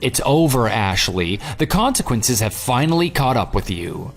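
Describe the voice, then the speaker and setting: Sarcastic, animated jilted man, played by an actor. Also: mid-range voice, normal speed, soft background music. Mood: gloating. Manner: sarcastic, taunting. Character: vengeful jilted ex-fiancé, sarcastic and coldly smug. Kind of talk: dramatized phone dialogue.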